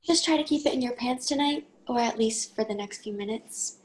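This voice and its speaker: A woman's voice: a little high, fairly quick, slight background noise, lightly acted. A woman reading a teasing teenage girl. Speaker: actor in a table reading.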